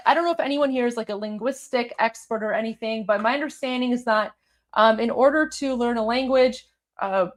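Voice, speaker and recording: A woman with a Toronto accent hosting a live show, commenting on a clip in an animated way, repeating her points with many fillers. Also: a little high. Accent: Canadian, Toronto. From Canada. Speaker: host.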